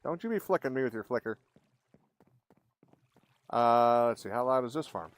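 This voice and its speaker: A man's voice: medium pitch, expressive, moderate pace, no echo. A man livestreaming a game, chatting casually and in a rambling way with his viewers. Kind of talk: casual live game-stream chat. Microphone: streaming microphone with gain maxed out. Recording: clear background noise.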